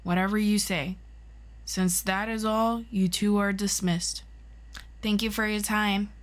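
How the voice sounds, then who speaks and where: Woman acting hero council interrogator, authoritative, measured, formal, dry, unhurried speech, audio drama. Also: medium pitch, fairly even intonation, slight background noise, close to an everyday voice.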